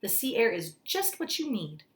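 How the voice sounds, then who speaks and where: A woman voicing a practical male doctor in a storybook, giving cautioning advice in a firm, measured manner. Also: fairly high pitch, big pitch swings.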